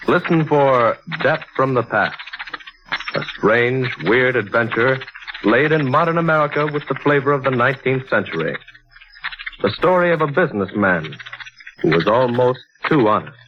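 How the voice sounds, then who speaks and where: Male announcer, formal 1940s broadcast delivery, declamatory, muffled sound, steady hiss. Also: medium pitch. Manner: measured, declamatory. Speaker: radio announcer.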